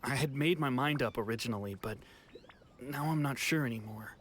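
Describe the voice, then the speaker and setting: A man voicing an uneasy young man in a game, hesitant and soft-spoken. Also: medium pitch, fairly quick, slight background noise, natural voice. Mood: uncertain. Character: uneasy young man smuggled home, unsure. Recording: game audio with faint music.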